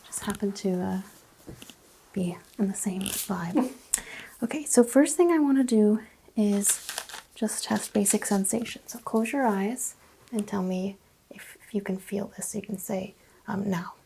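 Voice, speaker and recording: A woman speaks as an ASMR medical examiner in a mellow, creaky murmur that often drops into a whisper. She gives gentle, step-by-step instructions. There is faint noise behind her, with small clicks. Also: mid-range voice, measured pace, poor audio. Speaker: ASMR role-player acting as a medical examiner. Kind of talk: soft-spoken ASMR medical exam role-play.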